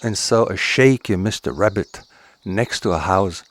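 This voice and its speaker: A man narrating a children's folktale as a scripted story, in a deliberate, expressive manner. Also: fairly low pitch, a little hiss or hum.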